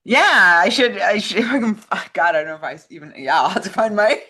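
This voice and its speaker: Female co-host, bright forward voice, informal and halting, casually chatting about film. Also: medium pitch, expressive, husky.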